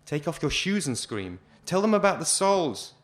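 A man's voice: fairly low pitch, moderate pace, close to an everyday voice. A man reading a story aloud, voicing a selfless condemned male prisoner who urges a fellow captive on. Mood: urgent.

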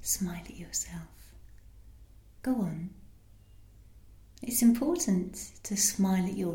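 A woman giving an intimate scripted literary narration in a hushed, measured manner, often close to a whisper. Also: medium pitch, slightly rough, no room sound. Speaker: narrator and performer of an audio playlet.

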